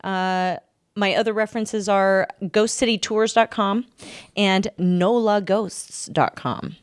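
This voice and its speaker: A woman podcast host with a smooth, clear voice in a close, dry recording. She chats casually and tells her story in an informal, joking manner. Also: mid-range voice, steady tone, slow pace, no room sound. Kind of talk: casual chat with storytelling from notes.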